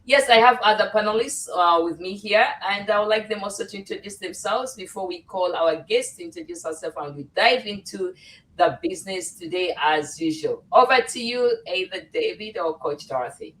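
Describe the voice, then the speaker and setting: A woman's voice: medium pitch, normal speed, a bit bright. A middle-aged woman hosting a casual livestream panel, welcoming and with frequent fillers. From Uganda. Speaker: host. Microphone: video call. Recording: distant from the mic.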